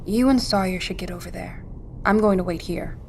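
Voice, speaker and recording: A woman's voice: lowish voice, fairly quick, gravelly, a little hiss or hum. An actress playing a resolute, practical, selfless woman in a naturalistic audio drama. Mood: reasoning.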